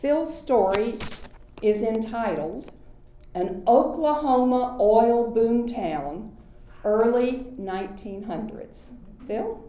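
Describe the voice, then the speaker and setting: A woman's voice: fairly high pitch, expressive, slow pace, dark tone, a little hiss or hum. Woman hosting a storytelling afternoon. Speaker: event host. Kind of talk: introducing storytellers. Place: retirement community event room. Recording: echoey room.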